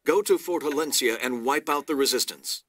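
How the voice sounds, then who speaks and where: A man playing a stern, calculating middle-aged lord in a video game, measured and authoritative. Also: a little high, fairly bright tone, a little hiss or hum, natural voice. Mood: cold command. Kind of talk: video game cutscene acting.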